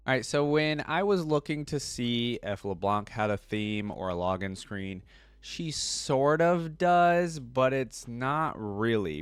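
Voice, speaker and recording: A man's voice: medium pitch, no room sound. A man hosting a casual reaction video, talking enthusiastically and rambling with many fillers.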